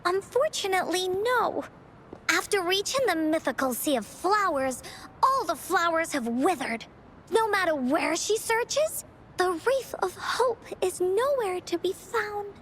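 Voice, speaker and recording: A woman voicing a spirited, imaginative little girl who tells adventure stories theatrically in a video game, with deliberate dramatic pauses. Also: very high-pitched, animated, a bit bright, slight background noise, heavily acted. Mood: gentle, solemn.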